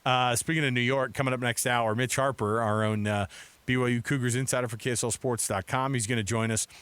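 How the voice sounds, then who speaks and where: A man's voice: medium pitch, fairly even intonation, fairly quick, a bit bright, clean tone, no echo. A middle-aged American man hosting sports radio in a casual, chatty, rambling way from a studio mic. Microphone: close studio microphone.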